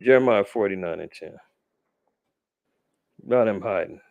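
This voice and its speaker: A man teaching scripture informally as a podcast host, with conversational streetwise slang and animated asides. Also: fairly low pitch, normal speed, gravelly, dry recording.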